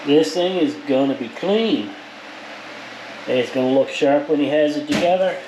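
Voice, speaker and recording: A man's voice: a little high, normal speed. A plain-spoken man giving a casual, explanatory hands-on workshop narration to viewers. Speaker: powder coater presenting his shop's work. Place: powder coating workshop. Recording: clear background shop noise.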